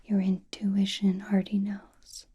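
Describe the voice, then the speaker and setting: A woman playing a calm, knowing, teasing sorceress who reads tarot in an ASMR roleplay, with a breathy, soothing whisper and deliberate delivery. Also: medium pitch, a little hiss or hum, close to an everyday voice. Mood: reassuring. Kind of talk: ASMR roleplay, personal attention.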